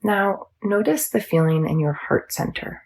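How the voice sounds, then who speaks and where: A woman teaching yoga nidra, guiding a meditation in a soothing, measured, instructional manner. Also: medium pitch, creaky, darkish tone, poor audio. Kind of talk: guided meditation.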